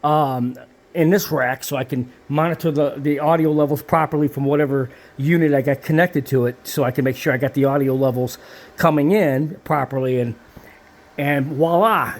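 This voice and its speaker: Higher-voiced man, casual gear walkthrough. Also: moderate pace. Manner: rambling, enthusiastic hands-on explaining. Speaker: video transfer technician showing equipment.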